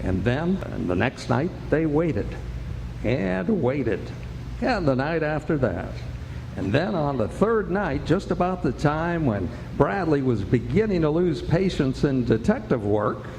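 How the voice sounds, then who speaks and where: A man's voice: fairly high pitch, sing-song, a little slow, creaky, a little mellow, exaggerated voice. A man narrating a western radio drama as a storyteller. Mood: suspenseful storytelling. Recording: echoey live hall, faint noise.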